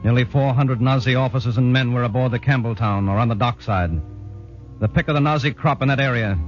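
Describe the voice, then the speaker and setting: An actor playing a British commando veteran who recounts a daring raid in solemn, measured 1940s radio drama narration. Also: male voice, medium pitch, darkish tone, lightly acted. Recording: dull 1940s radio recording with music.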